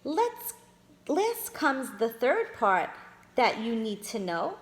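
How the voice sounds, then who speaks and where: Slow, lively woman reading to children. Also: high voice. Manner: addresses listeners as friends, pointing out pictures. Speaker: children's storyteller. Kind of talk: reading a picture book aloud to children. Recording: echoey room.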